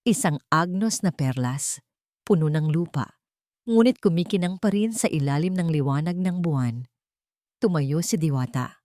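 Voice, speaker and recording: Low-voiced woman narrating a dramatic story. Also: lively intonation, normal speed, dark tone, dry recording, clean studio sound.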